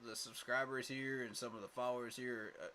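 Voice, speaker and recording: A man's voice: medium pitch, fairly even intonation, fairly quick, bright, forceful tone, slight background noise. A man hosting a casual fan livestream, talking emphatically with lots of fillers and repeated words, tired from little sleep. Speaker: fan channel host.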